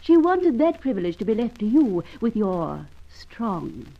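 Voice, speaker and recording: An actress playing a haughty, cool Nordic shop dealer with formal, imperious diction in old-time radio drama style. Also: female voice, mid-range voice, sing-song, a little slow, gravelly, narrow, tinny audio, heavily acted. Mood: curt, haughty.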